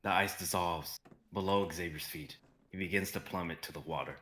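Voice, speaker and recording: Low, bright-voiced young man reading. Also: moderate pace. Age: young adult. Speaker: narrator of a dramatic reading. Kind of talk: live script reading, stage directions.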